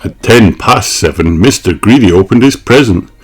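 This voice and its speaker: A man's voice: fairly low pitch, animated, normal speed, soft background music. A man reading a children's storybook aloud expressively, with theatrical emphasis on key words. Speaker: storybook reader.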